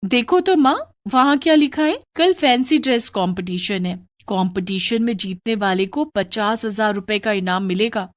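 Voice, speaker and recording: A woman's voice: medium pitch, lively intonation, normal speed, hardly any pauses, close to an everyday voice. A woman voicing an anxious, caring young daughter in a dramatized moral story, earnest in manner. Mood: eager.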